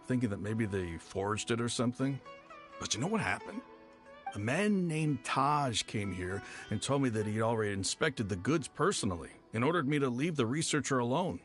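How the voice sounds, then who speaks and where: A man's voice: mid-range voice, lightly acted. A man voicing a talkative, matter-of-fact worker in a game. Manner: talkative, shrugging. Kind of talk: game voice acting.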